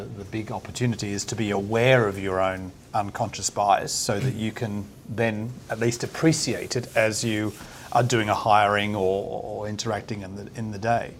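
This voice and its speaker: A man's voice: lowish voice, a little hiss or hum. A man speaking earnestly on a panel discussion, in stops and starts. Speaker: round table panelist.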